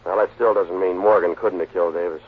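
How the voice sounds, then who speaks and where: A gruff homicide lieutenant who doubts everything he hears. He talks quick and low in a blunt, clipped way. The sound is a thin old radio broadcast with faint hiss. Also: steady tone, narrow, tinny audio. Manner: blunt, skeptical. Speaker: police lieutenant. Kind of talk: 1940s radio acting.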